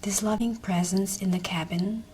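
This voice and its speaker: A woman narrating a guided meditation in a soft, breathy, often near-whispered voice, soothing and gentle. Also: medium pitch, fairly even intonation, normal speed, background noise. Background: meditation music.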